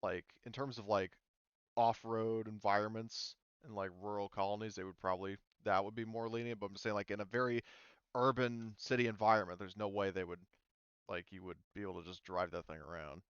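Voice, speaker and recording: A man's voice: on the low side, steady tone, a little fast, fairly bright tone, clear, resonant tone, dry recording, lo-fi sound. A man acting as game master, talking players through a tabletop game in a casual, informal way with some swearing.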